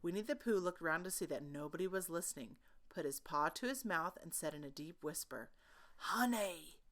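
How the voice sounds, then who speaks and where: A woman's voice: sits low, lively intonation, brisk, a bit bright. A woman storyteller reading a children's book aloud, expressively and with dramatic emphasis.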